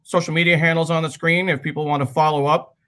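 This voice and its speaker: Bright-voiced man hosting a livestream. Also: a little high, flat delivery, fast talker. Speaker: livestream co-host. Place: video call livestream.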